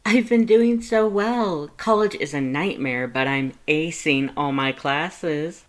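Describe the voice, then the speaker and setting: A narrator voicing a friendly, excitable young college student with a bubbly, eager manner. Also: mid-range voice, slow pace, voice pushed up, close to an everyday voice. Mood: excited.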